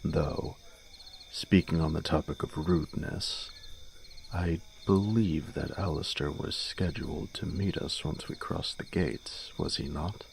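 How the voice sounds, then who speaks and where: A man's voice: a bass voice, a little slow, creaky, a little hiss or hum, exaggerated voice. A man playing a teasing young nobleman in a fantasy audio drama, speaking in a formal, archly polite manner. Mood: polite, needling. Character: teasing, urbane young nobleman, elder brother in fantasy court.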